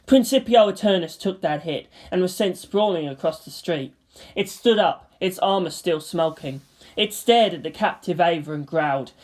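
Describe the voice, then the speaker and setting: A woman's voice: on the low side, moderate pace, a little mellow. A woman narrator reading fan fiction as dramatic scripted narration.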